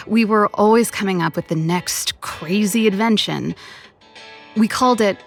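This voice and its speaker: A young inventor and rock musician from a video game, with noticeable vocal fry at a middling pitch. She is a playful big sister, casual and expressive, teasing in a breezy way. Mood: fondly reminiscing.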